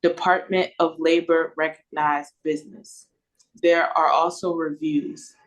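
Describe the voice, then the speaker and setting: A woman's voice: low voice, flat delivery, a little slow, dull audio. A woman with an American accent reading stage directions as narrator at an audio play read-through. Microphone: video call.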